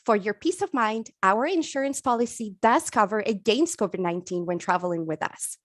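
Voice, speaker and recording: A woman's voice: medium pitch, lively intonation, fairly quick, dry recording, studio quality. A woman hosting a webinar, reading polished scripted announcements. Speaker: webinar host. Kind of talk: read announcement.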